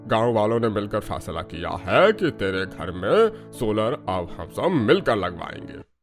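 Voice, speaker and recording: A man's voice: fairly low pitch, big pitch swings, unhurried, soft background music. Man acting a hearty, swooping village headman. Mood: decisive, kindly. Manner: folksy, exclaiming, addresses everyone as brother. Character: hearty older village headman, folksy and decisive. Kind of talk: Hindi cartoon dialogue acting.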